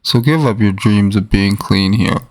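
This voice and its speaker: A man voicing a blunt, folksy, streetwise male pigeon with a gravelly voice in an animated short. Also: on the low side, measured pace, lightly acted. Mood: stern. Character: streetwise, pushy male alley pigeon, older buddy type.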